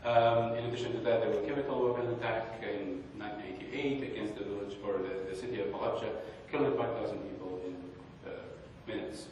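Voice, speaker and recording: A young man from northern Iraq presents a research talk in a fairly low voice, pausing often and restarting his sentences. He is picked up from a distance in a large hall, with strong echo, a long reverb tail and a faint hum underneath. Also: steady tone, a little mellow. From Kurdistan, northern Iraq. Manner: hesitant, with many pauses and restarts. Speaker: conference speaker. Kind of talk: academic presentation. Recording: echoey hall, distant mic.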